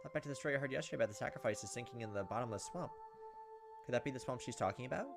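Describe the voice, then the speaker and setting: A man with a mid-pitched voice hosts a let's play of a visual novel at a quick clip. He reads the text out as dramatic narration, then slips into casual asides of his own. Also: vocal fry, over music. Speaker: gaming video host. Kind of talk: let's play, reading a visual novel aloud with off-the-cuff reactions.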